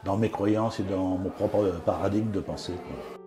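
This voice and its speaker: Man, low pitch, hesitant, echoey busy room. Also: over music. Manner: casual, halting, hesitant. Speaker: event attendee. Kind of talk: vox pop interview.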